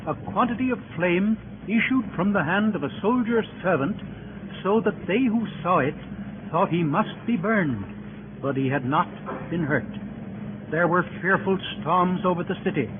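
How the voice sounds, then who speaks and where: A man narrating a scripted radio drama in a formal, chronicle-like manner. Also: sits high. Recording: tinny, hissy old radio recording.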